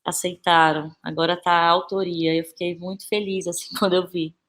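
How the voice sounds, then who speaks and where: A woman's voice: lowish voice, level tone, moderate pace, a bit bright. A Brazilian woman answering as a podcast guest in a conversational, informal and reflective way, often seeking agreement with tag questions. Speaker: podcast guest, actress and playwright.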